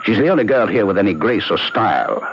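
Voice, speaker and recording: An actor playing a charismatic, roguish young medical student in a radio drama, teasing, sly and theatrical. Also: male voice, lowish voice, lively intonation, quick pace, noisy background. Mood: teasing, bargaining. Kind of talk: old-time radio drama acting. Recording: lo-fi old radio broadcast, music behind.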